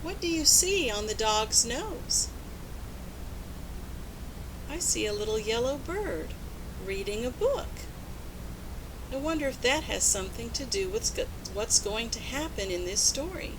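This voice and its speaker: Female storybook reader: high, very lively pitch, unhurried, instructive, faint rumble behind. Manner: expressive, instructive, addressing young children. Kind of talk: children's read-aloud, asking listeners questions.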